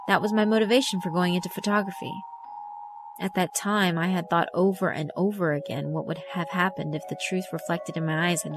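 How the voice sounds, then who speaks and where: A woman reading scripted first-person story narration for a visual novel. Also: on the low side. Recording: music behind the voice. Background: mallet and glockenspiel music.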